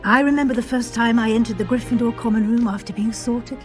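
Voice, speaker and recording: A woman's voice: on the high side, fairly even intonation, fast talker, soft background music, natural voice. A woman playing a kindly, proper deputy headmistress in a video game, polite and welcoming, with a British accent.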